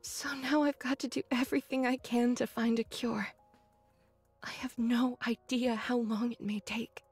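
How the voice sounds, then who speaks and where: An actress playing an earnest, scholarly young android researcher in a video game, speaking in a measured, precise way. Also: female voice, fairly high pitch, flat delivery, husky, no echo. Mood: regretful, resolute. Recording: dry studio voice, faint game music.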